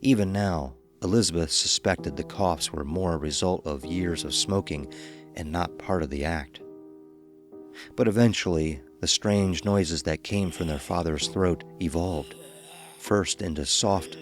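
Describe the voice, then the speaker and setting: A man with a deep voice narrates a horror story from a script. Faint music plays behind him.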